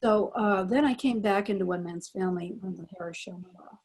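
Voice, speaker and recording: An American woman in her eighties, a former radio actress, casually reminiscing in a panel interview with a husky voice. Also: medium pitch, brisk, darkish tone. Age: 80s. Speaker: former child radio actress, convention guest. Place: remote virtual convention call.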